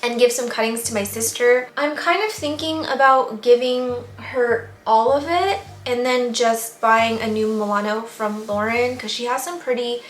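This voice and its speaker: A woman whose voice is wearing thin and hoarse, chatting on about her plants. She rambles with fillers, speaks a little high, and the room around her rings with echo while faint music plays underneath. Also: moderate pace, few pauses. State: losing her voice. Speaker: plant vlogger. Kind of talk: casual vlog chat.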